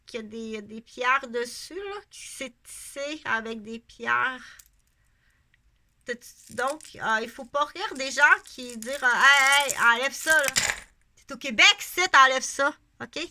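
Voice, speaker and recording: This is a middle-aged woman with a Québécois accent, speaking unscripted to her viewers. Her voice sits high and has a sharp, bright edge. She rambles from point to point and repeats phrases for emphasis. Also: slow pace. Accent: Québécois French. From Canada. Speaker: vlogger. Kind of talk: casual unscripted monologue to camera.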